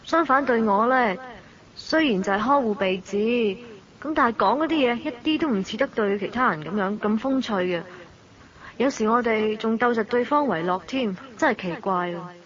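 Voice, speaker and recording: An actress playing a curious, cheeky young student in a radio drama, with a Hong Kong Cantonese accent. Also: female voice, fairly high pitch, lively intonation, normal speed, close to an everyday voice. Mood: excited, puzzled. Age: young adult. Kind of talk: radio drama narration and dialogue. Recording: dull lo-fi audio.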